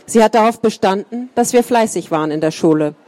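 A woman reading a first-person account aloud in a calm, measured way. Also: mid-range voice. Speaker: reader.

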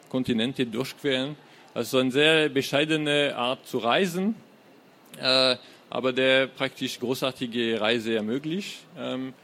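French guy speaking german, gravelly, lots of uh and um, mid pitch. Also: fairly bright tone. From France. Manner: hesitant, many filler sounds. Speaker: guest author. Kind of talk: live book reading talk. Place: fair hall stage.

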